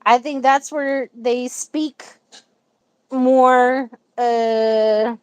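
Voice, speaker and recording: A woman's voice: a little high, flat delivery, drawn-out words, smooth, no echo. A young woman chatting casually and eagerly on a livestream, often laughing. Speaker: livestream co-host. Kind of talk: casual live chat.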